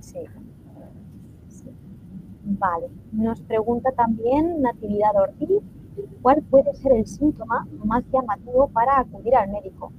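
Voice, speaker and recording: A woman's voice: fairly high pitch, measured pace, long pauses. Moderator, woman, muffled lo-fi line, faint hiss. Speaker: conference moderator. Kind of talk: reading audience questions. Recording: dull lo-fi sound, faint noise.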